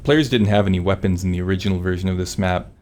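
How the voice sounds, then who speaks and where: A man's voice: deep voice, fast talker, darkish tone. A man giving scripted commentary as a game developer.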